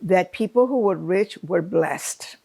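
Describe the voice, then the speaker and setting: Woman guest, low gravelly voice, punchy emphatic way of talking. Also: takes it slowly, mellow. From Chile. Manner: emphatic, speaks in short bursts. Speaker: guest, program founder. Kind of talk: conversational interview.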